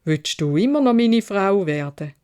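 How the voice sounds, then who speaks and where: A woman's voice: medium pitch, lively intonation, moderate pace, darkish tone, clear, resonant tone, close to an everyday voice. A woman voicing a kind young prince in a Swiss German fairy tale, earnest and loving, with an emphatic, reassuring delivery. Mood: earnest, joyful. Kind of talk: storybook character voice.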